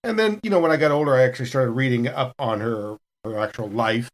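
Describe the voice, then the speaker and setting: A man's voice: medium pitch, quick pace. A man chatting casually as a podcast cast member, telling stories in a rambling way. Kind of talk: casual retrospective chat.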